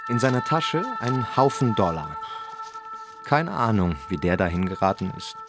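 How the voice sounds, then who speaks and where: An actor as the sombre, detached male narrator of a radio crime drama, measured and melancholy. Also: lowish voice, very animated, loud music behind the voice. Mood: sombre, reflective.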